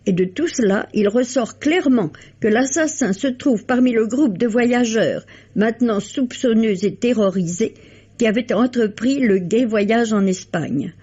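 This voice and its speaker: A woman reading a scripted book review on the radio with a slightly husky voice, on a vintage recording. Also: on the high side, a little slow, mellow, lo-fi sound.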